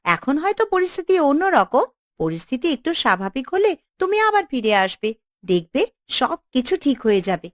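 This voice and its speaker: A loyal, confident young village woman from a cartoon acted by a woman. She is reassuring and decisive, with a highish, very animated voice in a dry recording. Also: moderate pace, dry recording. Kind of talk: Bengali cartoon dialogue.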